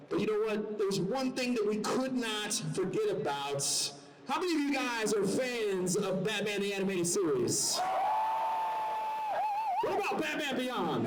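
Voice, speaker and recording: An excited man announces from a convention stage in a high-pitched voice. He calls out to the audience in an animated, rallying way, over a loud crowd in an echoing hall. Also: far from the mic. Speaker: stage announcer introducing a panel. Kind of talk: hyping up a live convention audience.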